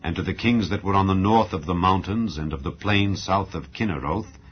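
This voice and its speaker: Male scripture narrator, low register, solemn and declamatory, slightly muffled lo-fi sound. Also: normal speed, slight background noise. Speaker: audio Bible narrator. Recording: dull lo-fi recording. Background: background music.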